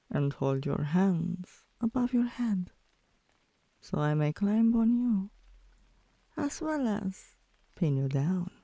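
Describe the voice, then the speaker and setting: Slow, teasing dominant girlfriend, acted by a woman. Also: low voice, gravelly, warm tone, no room sound, full character voice. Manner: commanding yet coaxing, deliberate, drops into whispers. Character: dominant, teasing tomboy girlfriend, young adult woman, affectionate. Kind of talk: intimate ASMR girlfriend roleplay.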